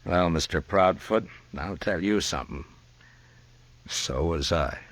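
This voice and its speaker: Deep-voiced stern frontier marshal. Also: male voice, slow pace, dry recording. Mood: grave, subdued. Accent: American. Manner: commanding, terse, grave. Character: stern, weary US marshal of the Old West. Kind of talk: 1950s radio drama acting.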